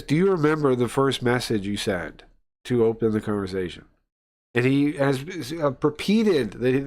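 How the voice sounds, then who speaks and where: Male streamer giving casual running commentary. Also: mid-range voice. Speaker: streamer reacting to a debate video. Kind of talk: casual live commentary on a played clip.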